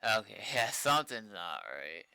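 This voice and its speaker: A man's voice: lowish voice, sing-song, moderate pace, gravelly, pushed and bright, clear, resonant tone, dry recording. A man thinking aloud casually in short, muttered fragments while he works at a computer, as a streamer would. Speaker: streamer coding a game server. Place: indoors at a computer.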